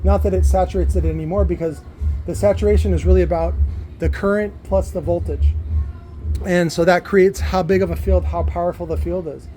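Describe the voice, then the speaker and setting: A man with a high, mellow voice talks a listener through technical details in an informal way. His delivery is halting, with words repeated mid-sentence. Faint music plays behind him. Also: steady tone, rough recording. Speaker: electronics builder explaining a device.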